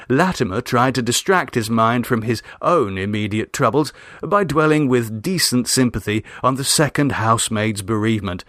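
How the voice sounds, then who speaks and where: A man's voice: on the low side, fairly bright tone, no echo. Man narrating, dry close sound. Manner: measured, wry, articulate. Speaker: story reader. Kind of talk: literary audiobook narration.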